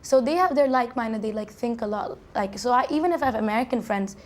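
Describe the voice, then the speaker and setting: A quick, high-voiced young woman from Saudi Arabia, talking freely in an interview. She tumbles through her answers with restarts and "like" fillers. The recording is from a university dorm. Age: young adult. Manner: chatty, many restarts and "like" fillers. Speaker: college student guest.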